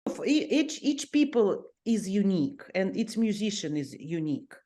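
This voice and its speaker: A Russian-born woman, a violinist and music teacher, speaking English as a second language with a Russian accent, pausing often as she searches for words. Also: medium pitch, sing-song, mellow. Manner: hesitant, searching for English words, many pauses. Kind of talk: personal interview talk.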